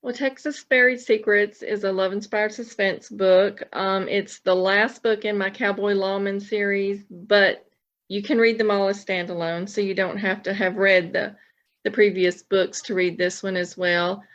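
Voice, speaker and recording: A woman's voice: medium pitch, steady tone, unhurried. A woman novelist talking casually as a podcast guest. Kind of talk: casual interview chat. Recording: dull lo-fi remote audio.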